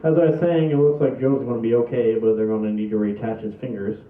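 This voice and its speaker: A man's voice: medium pitch, fairly even intonation, fast, lightly acted. Man acting a level-headed family man, muffled, echoey. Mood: matter-of-fact. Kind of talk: table read of a comedy film script. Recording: muffled, echoey, far from the mic.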